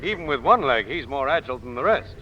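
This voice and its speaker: An actor playing an amiable, uneasy shipowner with a placating manner, in 1940s radio style. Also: male voice, mid-range voice, very animated, fast, natural voice. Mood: genial, placating. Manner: polite, placating. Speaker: ship owner. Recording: dull, noisy old-time radio recording.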